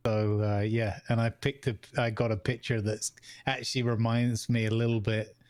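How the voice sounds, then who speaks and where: A man co-hosting a casual podcast chat, rambling with halting restarts and frequent laughs. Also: medium pitch, little pitch movement, a little mellow, no room sound, studio quality. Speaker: podcast co-host.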